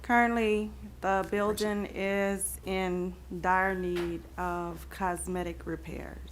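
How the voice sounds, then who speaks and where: A woman council member making unscripted remarks at a meeting in a halting, hesitant way. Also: medium pitch, little pitch movement. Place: public meeting room. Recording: faint room noise.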